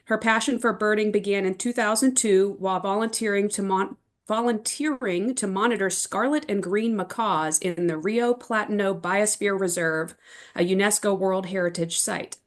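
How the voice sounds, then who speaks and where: A woman's voice: medium pitch, normal speed. A woman hosting an online event, reading out a guest's introduction over a video call. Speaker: event host.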